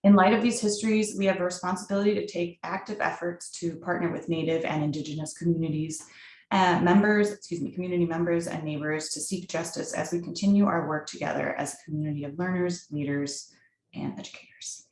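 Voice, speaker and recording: A woman opening and hosting an online workshop, speaking deliberately with some fillers over a video call. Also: lowish voice, steady tone, darkish tone, reverberant, rough recording. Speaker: workshop host.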